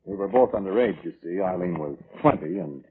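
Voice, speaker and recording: An actor playing a defensive, weaselly brother testifying at an inquest, halting and evasive, in old radio drama style. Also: male voice, sits low, lively intonation, gravelly, husky, heavily acted. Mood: uneasy. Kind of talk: 1940s radio drama acting. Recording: tinny, noisy old radio recording.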